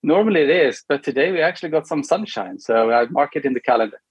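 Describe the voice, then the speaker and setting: A middle-aged Swedish man with a somewhat husky voice. He talks as a guest in an easygoing, halting way, often stopping to add a quick check-in. The sound is dry, with no room echo. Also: fairly high pitch. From Sweden. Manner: relaxed, hesitant with many ums and tag questions. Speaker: guest, product manager. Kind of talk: casual interview chat.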